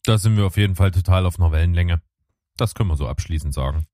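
Relaxed, rambling man talking films. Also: sits low, quick pace, no room sound, studio quality. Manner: relaxed, rambling with many fillers. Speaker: co-host. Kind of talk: casual film chat.

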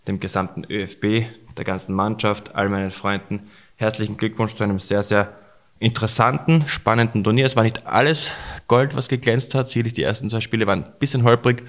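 Low, flat-voiced football pundit. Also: male voice. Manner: measured, reflective, stacks up phrases. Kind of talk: post-match football analysis.

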